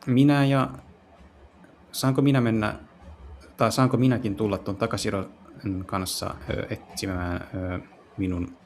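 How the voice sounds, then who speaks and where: A man doing a casual let's play, translating as he goes and haltingly searching for words. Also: fairly low pitch, steady tone, a little mellow, a little hiss or hum. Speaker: streamer playing and translating visual novels.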